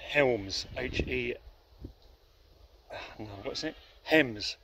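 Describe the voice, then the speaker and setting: A man's voice: mid-range voice, drawn-out words, gravelly, noisy background. A middle-aged man giving casual, wry walking commentary outdoors on a handheld phone, swearing now and then. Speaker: graffiti vlogger. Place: outdoors by a graffiti wall and woodland. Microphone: handheld camera phone.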